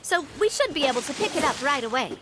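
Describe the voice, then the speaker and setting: An actress voicing an upbeat, caring young female boss in a video game, talking in a bright, friendly way. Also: sits high, very animated, fast talker. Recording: game audio with loud music.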